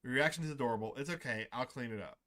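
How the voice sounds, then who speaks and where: A man reading as a gentle, apologetic companion girl. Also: mid-range voice, racing, pitched below the performer's own voice, lightly acted. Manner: reads the character's lines aloud in a near-plain voice. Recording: game music playing underneath.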